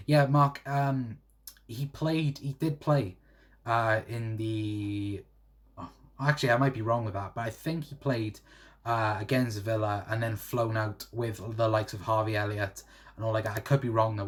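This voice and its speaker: A man in his twenties from South Wales with a Welsh accent, chatting casually on a livestream and reading out viewer comments, rambling with lots of fillers. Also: on the low side. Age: 20s. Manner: rambling, many fillers and "to be fair". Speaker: livestream host.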